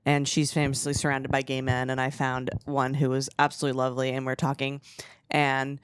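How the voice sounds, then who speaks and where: A woman with a low voice, co-hosting a comedy podcast and joking with frequent laughter. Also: completely flat delivery, a little fast, clear, resonant tone, no echo. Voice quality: low-pitched voice. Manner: playful, often laughing. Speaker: podcast co-host. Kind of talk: casual comedic chat.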